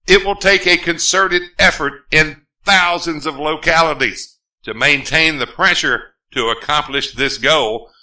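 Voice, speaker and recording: Bright, forceful man reading a political appeal. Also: a little high, rough recording. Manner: earnest, emphatic, rhetorical. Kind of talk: scripted political speech, read aloud.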